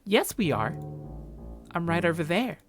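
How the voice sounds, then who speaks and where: A man's voice: fairly high pitch, very animated, measured pace, fairly bright tone, soft background music, pitched above the performer's own voice. A man voicing a cheerful, pushy young woman neighbour in a visual novel, chatty and insistent. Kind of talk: visual novel character read-aloud.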